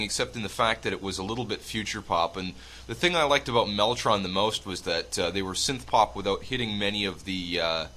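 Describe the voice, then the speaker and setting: A man's voice: mid-range voice, sharp tone. A man hosting a radio show, chatting casually between tracks, stuffed up from a cold and rambling with many fillers. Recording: music playing under the voice.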